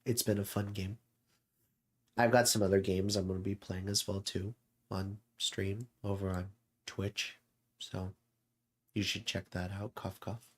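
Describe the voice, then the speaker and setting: Slow-talking man with a low voice. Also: level tone. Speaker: streamer host. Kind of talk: casual reflective chat.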